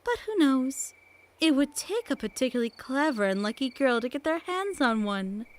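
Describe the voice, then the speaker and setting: A woman playing a mysterious storyteller who tells eerie tales to children in a theatrical, hushed, suspenseful way in an audio play. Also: high-pitched, very animated, moderate pace, slight background noise. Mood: musing, mysterious.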